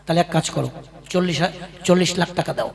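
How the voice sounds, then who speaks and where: A man's voice: on the high side, fast, creaky. A man voicing a greedy government official in a puppet cartoon who blocks boats until he is paid a bribe. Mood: smug. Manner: smug, bossy, demanding bribes. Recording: voice over loud music.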